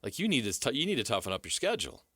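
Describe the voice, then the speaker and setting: Bright-voiced American male sports host. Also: medium pitch, very animated, racing, breathy edge, no room sound, studio quality. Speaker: sports talk show host. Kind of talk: sports talk discussion.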